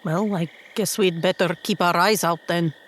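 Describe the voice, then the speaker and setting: A woman's voice: lowish voice, fairly even intonation, moderate pace, a little hiss or hum, close to an everyday voice. A woman playing a very tall, composed and wary female adventurer in a tabletop game.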